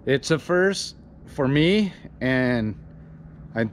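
Excited man vlogging outdoors. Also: medium pitch, very slow, slight background noise. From Illinois, USA. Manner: upbeat, chatty, asks viewers for advice. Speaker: fishing channel host. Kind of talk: casual vlog to camera. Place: outdoors in front of a house garage. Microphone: camera at arm's length.

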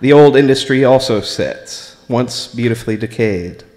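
An American man reading his own writing aloud at a live open mic, in a deliberate, measured way with pauses between short phrases. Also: mid-range voice, darkish tone. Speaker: spoken word performer reading own writing. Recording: live recording in a reverberant room.

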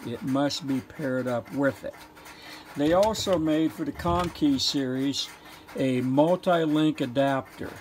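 Slow-talking man explaining technical gear. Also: medium pitch, darkish tone, poor audio. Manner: matter-of-fact, halting, explanatory. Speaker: presenter, telephone equipment collector. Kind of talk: informal technical show-and-tell.

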